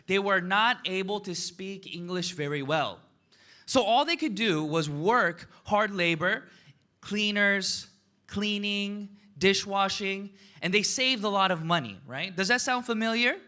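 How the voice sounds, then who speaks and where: A man in his thirties giving an energetic motivational talk on stage, asking the crowd questions and tagging lines with "right?" and "okay?". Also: high-pitched. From United States. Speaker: motivational speaker.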